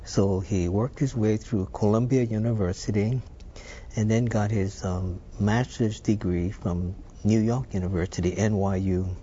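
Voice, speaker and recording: An older man raised in Hawaii, telling stories about his past in an unhurried, thoughtful way, with some fillers. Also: lowish voice, steady tone, warm tone, highs cut off, slight background noise. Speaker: interview guest. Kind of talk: reminiscing interview.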